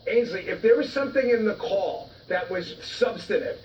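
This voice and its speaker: High-voiced, emphatic man, muffled sound. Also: moderate pace, darkish tone. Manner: emphatic, argumentative. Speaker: political commentator, guest. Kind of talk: live news interview. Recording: muffled, distant-sounding, faint background noise.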